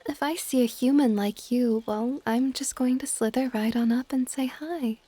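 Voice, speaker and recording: A woman playing a possessive, affectionate dragon goddess in an ASMR audio roleplay, with a soft, whispery, teasing and intimate delivery. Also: no room sound, close to an everyday voice. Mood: sheepish, fond. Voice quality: soft, breathy whispering mixed with low-volume speech. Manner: doting, teasing, unhurried.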